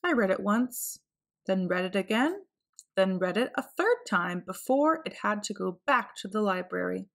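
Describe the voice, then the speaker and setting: A woman reading a picture book aloud for children, with clear, expressive phrasing. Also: mid-range voice, normal speed. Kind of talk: read-aloud storybook narration.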